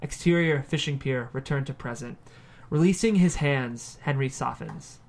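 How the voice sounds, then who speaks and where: A man's voice: mid-range voice, normal speed, vocal fry, a little hiss or hum. A man reading screenplay stage directions as scripted narration at a table read.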